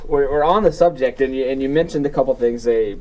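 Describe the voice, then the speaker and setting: A man's voice: mid-range voice, fast, a little mellow, rough recording. A male host in casual chat, upbeat and friendly, calling his guest "man".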